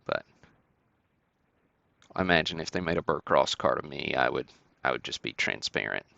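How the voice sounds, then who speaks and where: A man's voice: extremely low pitch, animated, normal speed, creaky, pushed and bright, dry recording, muffled recording. A man hosting a sports card break livestream, casually calling out cards and buyers and chatting to viewers in an easygoing way.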